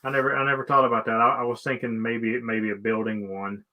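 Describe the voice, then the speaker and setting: A man's voice: mid-range voice, flat delivery, fast talker, lo-fi sound. A man with an American accent hosting a casual chat, asking questions in a folksy, plain-spoken way. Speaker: live stream host.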